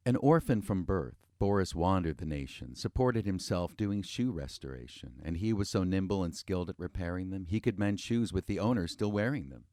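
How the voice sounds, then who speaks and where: Male storyteller, low register, dry studio sound, rhythmic and tongue-in-cheek fairy tale narration in verse. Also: expressive, normal speed, hardly any pauses, darkish tone, no room sound.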